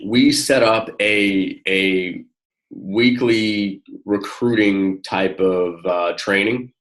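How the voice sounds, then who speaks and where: A man with a low-pitched voice gives one-on-one coaching in a casual, encouraging way. He talks in halting, informal phrases, circling back as he explains. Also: a bit bright. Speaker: network marketing coach.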